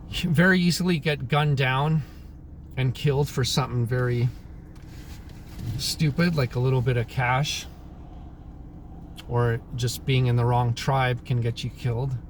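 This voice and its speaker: A man in his thirties, originally from Croatia, talking through his opinions in a casual monologue, pausing often and clearing his throat. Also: mid-range voice, fairly even intonation, slight background noise. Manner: halting, frequent throat clearing and restarts. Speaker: vlogger. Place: in Alberta, Canada.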